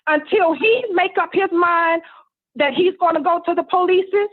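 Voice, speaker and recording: A woman with a high voice calling in over a muffled phone line. She speaks in a firm, slightly preachy way, laying out what the other person ought to do. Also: normal speed. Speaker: caller. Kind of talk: casual phone-in chat.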